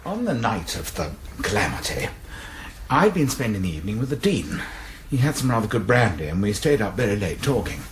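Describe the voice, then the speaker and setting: An actor playing a fussy male college librarian in a radio drama, formal, halting and apologetic. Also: fairly low pitch, very animated, slight background noise. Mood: uneasy, apologetic. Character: fussy, donnish male college librarian, uneasily explaining himself.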